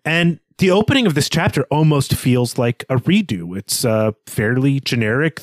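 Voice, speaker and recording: A man's voice: medium pitch, dry recording. A man co-hosting a podcast, explaining literature in an earnest, bookish and analytical way. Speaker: podcast co-host. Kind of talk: literary discussion, analytical commentary.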